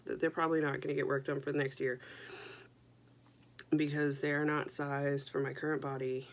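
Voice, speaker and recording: A young woman chatting casually to camera about her knitting, stuffy from a head cold, with joking asides. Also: sits low. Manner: chatty, self-deprecating, jokey asides. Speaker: knitting podcast host.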